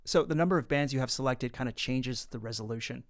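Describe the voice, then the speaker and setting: A man presents an instructional tutorial in a voice of average pitch. He explains each step in a casual, orderly way, as a software presenter would. Some music can be heard along with him. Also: fast.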